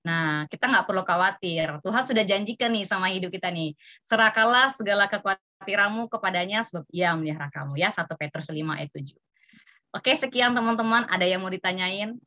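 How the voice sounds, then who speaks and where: Bright-voiced woman giving a talk. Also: medium pitch, brisk, no echo, highs cut off. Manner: illustrative, acts out example remarks, checks in with "ya". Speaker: seminar speaker. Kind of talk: informal seminar presentation, conversational explaining. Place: online video meeting.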